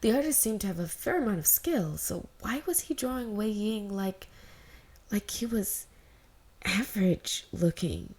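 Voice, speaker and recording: A woman's voice: medium pitch, lively intonation, a little slow, a little mellow, husky. A woman who is an amateur podfic reader, talking informally and narrating fan fiction.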